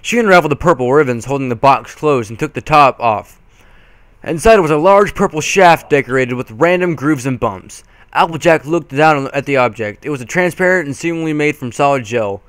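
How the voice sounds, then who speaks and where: A man's voice: fairly high pitch, animated, brisk, a bit bright, smooth, no echo. A man reading a story aloud as an amateur, tripping over the written words and dropping into casual asides. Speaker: amateur reader.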